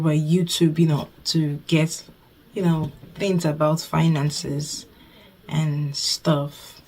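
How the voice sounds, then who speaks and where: A young Nigerian woman in her twenties talks straight to her camera in a casual vlog. Her voice sits low for a woman. She chats warmly and loosely, circling back and repeating phrases as she goes. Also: slightly rough. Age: 20s. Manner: chatty, repeats herself, thankful. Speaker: budgeting vlogger.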